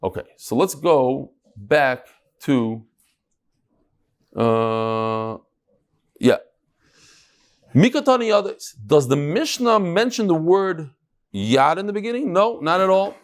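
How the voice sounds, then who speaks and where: A man teaching an informal religious class in a fairly high voice. His pitch swings widely as he talks, with emphatic, conversational delivery and frequent rhetorical questions. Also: lively intonation, slow pace, long pauses. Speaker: rabbi giving a daily Talmud class. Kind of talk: informal Talmud lecture to a live class.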